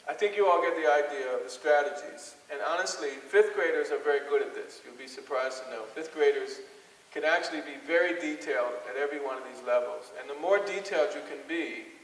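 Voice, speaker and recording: A man with a fairly high voice leads an interactive workshop. He is encouraging, asking questions and echoing answers back as he explains. The sound is distant, with a long hall reverb. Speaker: workshop speaker. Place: large hall with an audience. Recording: echoey hall, distant microphone.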